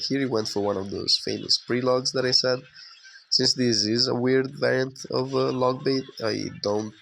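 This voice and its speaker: Young man, hoarse and sick, gravelly low voice, relaxed game commentary, filler words. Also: a little hiss or hum. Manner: relaxed, conversational with frequent fillers. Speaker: gameplay commentator. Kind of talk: casual game commentary voice-over.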